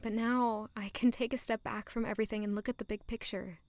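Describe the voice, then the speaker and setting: Woman acting a high-voiced, earnest young noblewoman. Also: steady tone, a little fast, a little mellow, natural voice. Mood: playful, candid. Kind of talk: audio drama dialogue and first-person narration.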